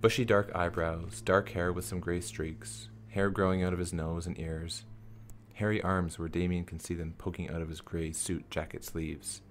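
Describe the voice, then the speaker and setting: A man's voice: low-pitched, little pitch movement, normal speed. A man narrating a scripted radio play, with background noise behind his voice.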